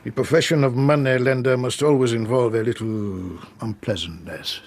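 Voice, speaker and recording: An actor playing a suave French police detective in a radio drama, formal and smoothly insistent, with a French accent. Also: male voice, medium pitch, steady tone, normal speed, a little mellow, lightly acted. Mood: probing.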